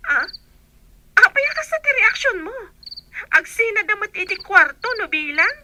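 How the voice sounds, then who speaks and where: A woman's voice: very high-pitched, very animated, normal speed, background noise. An actress with an Ilocano accent playing a teasing, gossipy young woman in a radio drama.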